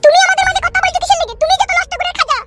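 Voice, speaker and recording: A cartoon village wife with an extremely high, squeaky voice. She scolds and makes demands at a very fast clip, swearing freely, over loud music. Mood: indignant. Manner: scolding, foul-mouthed, demanding. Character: shrill, quarrelsome village wife demanding the house. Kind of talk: comedy cartoon dialogue.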